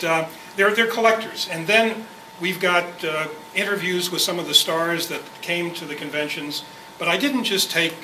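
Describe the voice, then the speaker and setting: Man, fairly high voice, conversational presenter, rambling, distant microphone, noisy room. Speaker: presenter, club member. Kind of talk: informal spoken introduction to a club. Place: indoor club meeting room. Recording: echoey room, far mic, clear noise.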